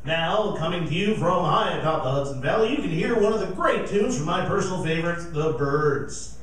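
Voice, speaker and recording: A chummy oldies disc jockey played by a man with a somewhat high voice, delivering radio patter and forecasts on a live stage. The sound is very reverberant and a little distant. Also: expressive, brisk, natural voice. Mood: chummy, upbeat. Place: performing arts center stage.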